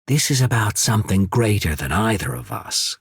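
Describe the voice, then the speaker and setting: Husky, calculating statesman. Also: fairly low pitch, expressive, dry recording, clean studio sound, heavily acted. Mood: cold, resolute. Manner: measured, formal, deliberate diction. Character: calm, calculating male statesman and spymaster, coolly ambitious. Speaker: video game voice actor. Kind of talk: scripted game dialogue.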